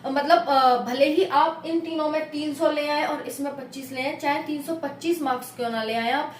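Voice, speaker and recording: A woman teaching exam rules to students, often checking whether things are clear and addressing viewers as companions. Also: high-pitched, steady tone, normal speed, a little hiss or hum. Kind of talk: explaining exam rules at a whiteboard. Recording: echoey room, recorded from a distance.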